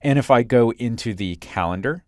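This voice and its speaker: A man working as an instructor, narrating a software screencast in a clear, step-by-step explanatory way. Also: mid-range voice, lively intonation, moderate pace, no room sound, clean studio sound.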